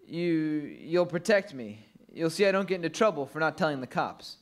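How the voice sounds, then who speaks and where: An actor playing a surly, evasive working-class young candy seller on a train in an old-time radio play. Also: male voice, a little high, expressive, normal speed, lightly acted. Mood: uneasy. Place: live stage performance at a convention.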